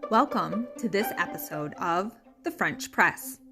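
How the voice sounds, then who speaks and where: A woman reading a scripted podcast intro in a slow, inviting manner. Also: fairly low pitch, animated. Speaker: podcast host. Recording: intro with music behind.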